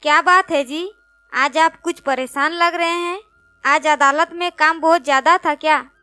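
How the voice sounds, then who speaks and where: Storybook wife: woman acting gentle, caring, softly coaxing, high-pitched voice. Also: steady tone, measured pace, no room sound, faint music behind. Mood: concerned, tender.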